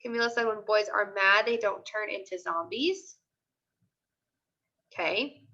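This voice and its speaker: A woman's voice: mid-range voice, measured pace, sharp tone, lo-fi sound. A woman teacher leading a live online class discussion, prompting with repeated questions and short commands.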